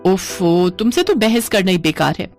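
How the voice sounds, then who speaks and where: A woman's voice: medium pitch, sing-song, normal speed, darkish tone, faint music behind. A woman voicing a put-upon, bossy pregnant elder sister-in-law in a cartoon family story, with a reproachful manner. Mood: scolding. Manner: scolding, reproachful. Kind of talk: animated Hindi family story dialogue.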